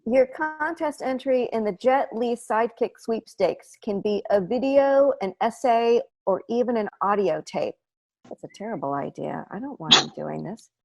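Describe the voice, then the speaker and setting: A woman's voice: fairly high pitch, normal speed, gravelly. A middle-aged woman playing a cheerful, caring suburban mom in a comedic table read over a video call. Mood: reading, disapproving. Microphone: wired earbuds on video call.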